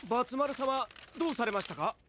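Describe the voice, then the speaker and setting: Very high, fretful attendant. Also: male voice, expressive, a little fast, noisy background. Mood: worried. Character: polite, fretful attendant to a young master. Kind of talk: anime-style voice drama acting.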